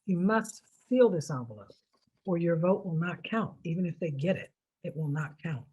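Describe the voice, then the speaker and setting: A woman's voice: lowish voice, lively intonation, normal speed, mellow, husky, muffled recording. An American woman giving informal, step-by-step public instructions in an emphatic manner, repeating key points to stress them. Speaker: presenter of a voter instruction video.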